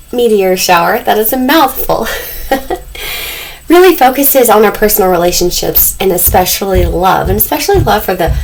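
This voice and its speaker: A woman doing a casual tarot reading to camera, a little husky as she is losing her voice, talking softly and calmly in a dim room. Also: mid-range voice, poor audio. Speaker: tarot reader. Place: dim room indoors lit by candles. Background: faint noise from loud neighbors upstairs.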